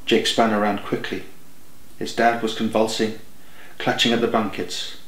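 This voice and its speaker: A man's voice: fairly low pitch, level tone, moderate pace, a bit bright. Male audiobook narrator, echoey room. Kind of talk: scripted dramatic story narration.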